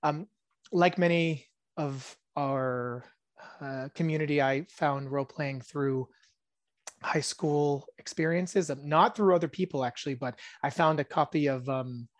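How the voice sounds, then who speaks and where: A man in his thirties, born in Israel and a native Hebrew speaker, talking as a podcast guest in a musing, soft-spoken way that often trails off into quiet asides. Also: on the high side, unhurried, no room sound, clean studio sound. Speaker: podcast guest, tabletop game designer. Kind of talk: casual interview chat.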